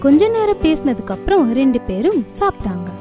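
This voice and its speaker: A woman's voice: high voice, big pitch swings, brisk. A woman narrating a cartoon ghost story in a scripted storybook style. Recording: music bed behind.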